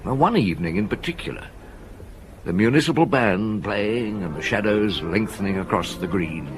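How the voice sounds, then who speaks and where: An actor playing Maigret, a genial, reflective French police inspector past fifty, in radio drama style, unhurried and given to murmured hums. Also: male voice, lowish voice, expressive, noisy background, natural voice. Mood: reminiscing. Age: older. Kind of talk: British radio drama acting.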